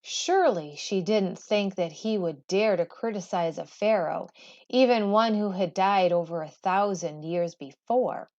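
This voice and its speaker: A woman teacher reading a storybook aloud with expressive, deliberate, emphatic phrasing. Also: mid-range voice, lo-fi sound.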